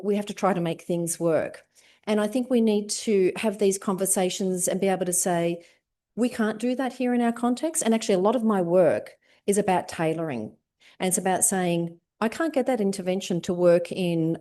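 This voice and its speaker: A woman with an Australian accent giving a conversational guest lecture, with a loud, projecting voice. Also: medium pitch. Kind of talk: informal academic lecture. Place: lecture room.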